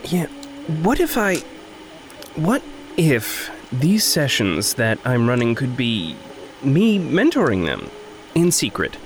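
An actor playing an earnest, anxious, conscientious man in an audio drama, speaking haltingly and trailing off. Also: medium pitch, wildly swooping pitch, a little hiss or hum. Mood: troubled, earnest. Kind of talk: naturalistic audio drama acting.

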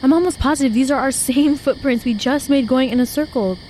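A young woman playing an anxious, wisecracking hiker in an audio drama, talking in a slangy, expressive, playful way. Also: high voice, brisk, a little hiss or hum, natural voice. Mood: exasperated. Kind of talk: naturalistic audio drama acting.

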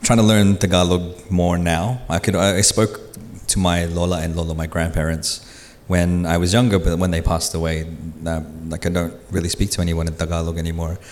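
Low-voiced co-host in a hall. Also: male voice, brisk, hardly any pauses. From Philippines. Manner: relaxed, self-deprecating sharing. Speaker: podcast co-host. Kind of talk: casual live panel chat. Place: live conference stage. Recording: hall reverb on stage.

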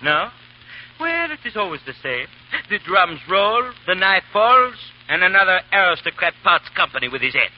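Lively, gleeful barber in old radio. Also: male voice, high voice, moderate pace. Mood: gleefully morbid. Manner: chatty, gleefully morbid. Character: jovial, bloodthirsty French revolutionary barber. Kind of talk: 1930s radio drama acting. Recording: muffled, noisy 1930s radio recording.

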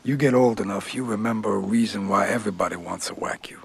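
A man's voice: fairly low pitch, fast talker, gravelly, crowd noise. An actor playing a weary, streetwise aging male gangster, heard as a film clip. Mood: weary, brooding. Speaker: film character in interview sketch. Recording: film clip with loud score.

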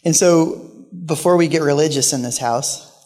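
A man preaching as a guest pastor with a quiet voice, telling stories with humorous asides in a church. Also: fairly high pitch, normal speed, soft background music. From Portland, Oregon. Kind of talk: sermon. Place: church sanctuary. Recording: live church hall with long reverb.

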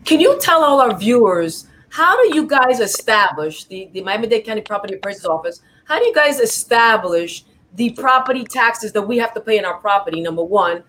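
A woman's voice: mid-range voice, lively intonation, a little fast, hardly any pauses, a bit bright, a little hiss or hum, low-quality recording. A woman from New York hosting a talk show, interviewing a guest in an upbeat, friendly, chatty way.

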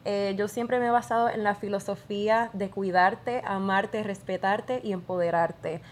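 A woman's voice: mid-range voice, steady tone, measured pace, a little hiss or hum. A young Puerto Rican woman with a Puerto Rican accent, earnestly answering interview questions in a casual chat. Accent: Puerto Rican Spanish. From Puerto Rico. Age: young adult. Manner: earnest, many affirming interjections.

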